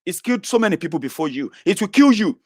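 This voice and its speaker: A man in his thirties ranting to his online followers, blunt and profane, swinging between loud outbursts and low mutters. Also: big pitch swings, quick pace, no echo, clean studio sound. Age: 30s. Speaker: online political commentator.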